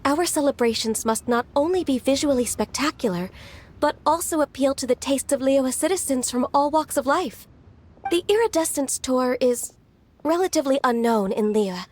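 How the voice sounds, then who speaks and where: A soft-spoken, dutiful official. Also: female voice, high-pitched, lively intonation. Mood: measured. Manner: formal, measured, polite. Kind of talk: video game voice acting.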